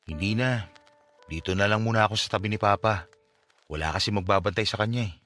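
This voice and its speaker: A man's voice: on the low side, quick pace, fairly bright tone, natural voice. An actor playing an emotional, self-blaming son grieving his father in a radio drama. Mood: downcast, guilty. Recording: music bed under the voice.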